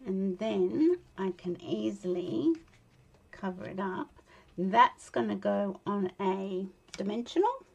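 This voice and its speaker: Australian woman, croaky and tired, slow chatty card-making demo, gravelly husky tone, mutters while working. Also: medium pitch, lively intonation, warm tone, muffled recording. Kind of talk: casual crafting tutorial chat. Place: indoor craft desk, overhead camera.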